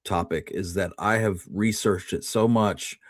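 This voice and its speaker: Low-voiced man, casual and dry. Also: fairly even intonation, a little slow, no echo. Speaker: show co-host. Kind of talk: casual conversation.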